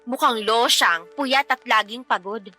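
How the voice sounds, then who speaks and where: A woman's voice: fairly high pitch, unhurried, pushed and bright, faint music behind. A woman playing a tired, pregnant young mother who feels insecure in a radio drama, speaking emotionally and expressively. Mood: hurt. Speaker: wife character in a radio drama.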